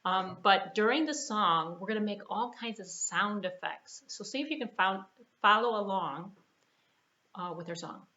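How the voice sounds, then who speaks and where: A woman presenting a children's storytime, speaking to young children in simple, deliberate phrases. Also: medium pitch, expressive, pushed and bright. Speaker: children's library puppet show presenter. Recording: dull lo-fi sound, faint music.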